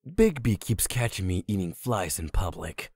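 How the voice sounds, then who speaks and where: Meek earnest male janitor acted by a man, low voice, animated pitch, mild manner. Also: moderate pace, husky, no room sound, studio quality, full character voice. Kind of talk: comic dub voice acting.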